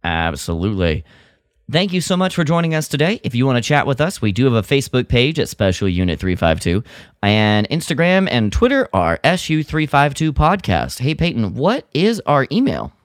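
A man's voice: mid-range voice, big pitch swings, moderate pace, dry recording, clean studio sound. A man co-hosting a podcast, chatting informally in a hesitant, thoughtful way. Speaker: podcast co-host. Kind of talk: casual podcast chat.

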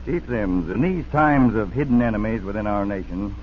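A man's voice: lowish voice, moderate pace. Formal male host on muffled old radio. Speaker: host interviewer, a colonel. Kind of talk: formal scripted 1940s radio interview. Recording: dull, hissy 1940s radio broadcast.

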